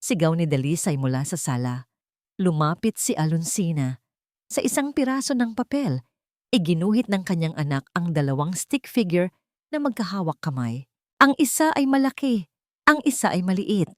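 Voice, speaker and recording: A woman's voice: on the low side, big pitch swings, normal speed, dry recording, clean studio sound. A woman with a Filipino accent giving a scripted, dramatic narration of a story.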